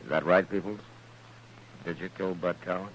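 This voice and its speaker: An actor playing a stern, weary American frontier marshal in a radio western, speaking with dry, sardonic authority. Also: male voice, low voice, fairly even intonation, moderate pace, lightly acted. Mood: brisk, probing. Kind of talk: 1950s radio western acting. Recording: noisy, humming old radio recording.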